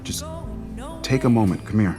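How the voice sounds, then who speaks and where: A man playing a gentle, caring man in love in a romantic audio drama, speaking earnestly. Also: on the low side, expressive, normal speed, music in the background, lightly acted. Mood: tender, earnest.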